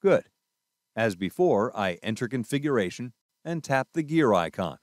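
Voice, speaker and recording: A man's voice: medium pitch, big pitch swings, moderate pace, no echo, clean studio sound. A man presenting a product tutorial, narrating scripted instructions step by step in a methodical way.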